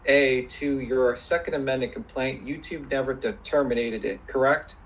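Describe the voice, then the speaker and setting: A man questioning a witness as an attorney, formal and persistent, repeating questions deliberately. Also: medium pitch, steady tone, rough recording. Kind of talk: formal deposition cross-questioning.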